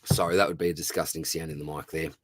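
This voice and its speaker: A man's voice: extremely low pitch, level tone, fast, a little hiss or hum. An Australian man with a dry, slightly worn throat, hosting a casual live Q&A and reading out viewer questions in a chatty, matey way. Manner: matey and chatty, lots of "mate" and "brother", reads out questions. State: dry throat, losing his voice. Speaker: fantasy football streamer answering viewer questions.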